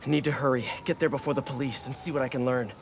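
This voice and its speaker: A man's voice: mid-range voice, steady tone, fast, gravelly, a little hiss or hum, natural voice. A man voicing an earnest, wisecracking young American masked hero in a video game, muttering quips to himself in a naturalistic way. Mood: urgent, focused. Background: music.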